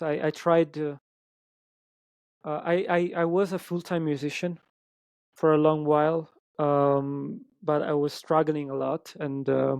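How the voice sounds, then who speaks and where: A man's voice: on the high side, a little mellow, clear, resonant tone, no echo. A man with an Italian accent, a musician guest chatting in an interview, hesitant with many ums and restarts. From Italy. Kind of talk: casual interview chat.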